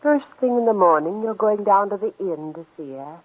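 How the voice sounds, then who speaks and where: A woman's voice: mid-range voice, animated, normal speed, breathy edge. An actress playing a cold, controlling woman scheming in a murder plot, speaking in a commanding, composed, clipped way in 1940s radio drama style. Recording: tinny, muffled old-time radio recording.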